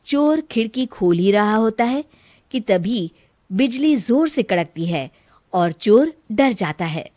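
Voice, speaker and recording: A woman's voice: medium pitch, lively intonation, takes it slowly, a little mellow. A woman reading a scripted children's story as its narrator.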